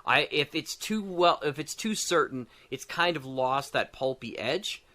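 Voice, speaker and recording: A man in a casual podcast chat, animated and rambling as he thinks aloud and slips into anecdotes. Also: fairly high pitch, measured pace. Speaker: guest, audio drama creator designing a tabletop game.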